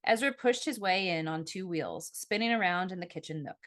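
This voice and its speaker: A woman narrating a first-person novel as an audiobook, with an expressive storytelling delivery. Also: fairly low pitch, brisk. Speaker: author reading own novel.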